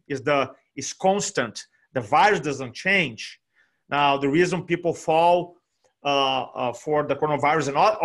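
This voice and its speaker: A fairly high-voiced man in his forties with a Brazilian Portuguese accent talks on a video call from a small room at home. He is animated, and he repeats his key phrases for emphasis. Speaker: guest speaker. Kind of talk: energetic motivational talk. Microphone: desk microphone on video call.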